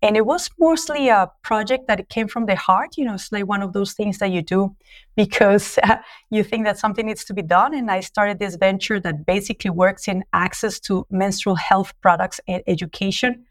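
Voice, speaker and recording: Guest, female, colombian spanish accent, lively storyteller, mid pitch, on a call. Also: moderate pace. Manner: animated storytelling, frequent laughs and restarts. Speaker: guest, social entrepreneur. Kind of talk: remote podcast interview. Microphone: call audio.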